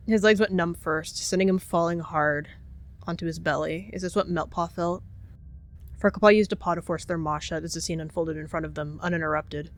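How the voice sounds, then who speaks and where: Casting: roleplay narrator with a medium-pitched voice, dramatic third-person storytelling.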